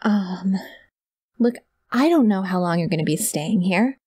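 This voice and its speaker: A woman's voice: medium pitch, expressive, unhurried, darkish tone, husky, no echo, studio quality. A woman playing a sweet, awkward, clingy young yandere kidnapper in an ASMR audio roleplay, rambling and gushing straight to the listener. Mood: anxious, insistent.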